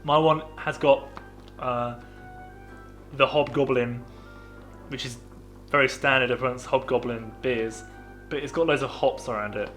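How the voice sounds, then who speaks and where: A man's voice: medium pitch, fairly even intonation, a little slow, pauses often, a bit bright, soft background music. A young man co-hosting a casual podcast, chatting and joking with lots of ums and laughs. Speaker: podcast co-host. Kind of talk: casual chat over beer.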